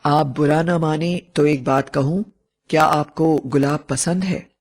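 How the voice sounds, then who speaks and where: A woman voicing a polite, cool and mysterious young male college student in an animated story, with a courteous, measured manner. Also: low voice, voice pushed down. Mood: cool, suave.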